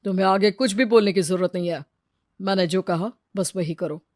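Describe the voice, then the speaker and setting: A woman's voice: medium pitch, moderate pace, no echo, studio quality, natural voice. A woman voicing a resolute, devoted male doctor who speaks with authority in a cartoon story. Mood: firm.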